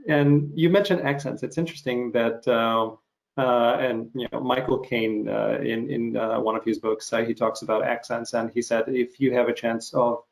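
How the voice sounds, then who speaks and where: Gravelly male interviewer, musing and halting. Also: mid-range voice, steady tone, poor audio. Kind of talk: conversational interview.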